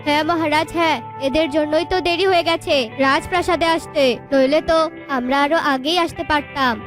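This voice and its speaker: A woman voicing a selfish, impatient pigeon in a children's cartoon, curt and quick to protest. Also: high-pitched, steady tone, over music, natural voice.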